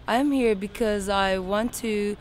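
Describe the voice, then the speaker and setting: A woman's voice: mid-range voice, a little slow, a little mellow. Young Turkish woman, faint vehicle noise. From Turkey. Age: 20s. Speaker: youth congress family leader. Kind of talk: speaking to camera in interview.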